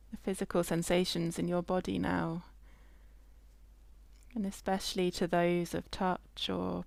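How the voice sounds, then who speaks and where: Slow, low-pitched woman guiding a meditation. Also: vocal fry, no echo. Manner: gentle, unhurried, with long pauses. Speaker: mindfulness meditation guide. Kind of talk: guided body scan meditation, slow and spacious.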